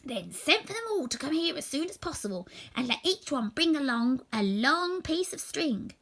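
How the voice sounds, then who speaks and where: A woman's voice: sits high, sing-song, moderate pace, a bit bright, voice pushed up, exaggerated voice. A woman voicing a helpful, persuasive scarecrow in a storybook, with an earnest manner. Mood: organising. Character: helpful, persuasive scarecrow with straw-stuffed head. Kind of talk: storybook character voice.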